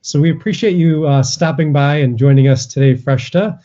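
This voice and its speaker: Mellow-voiced male interviewer. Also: on the high side, normal speed, muffled recording. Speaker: interviewer host. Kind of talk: interview questions.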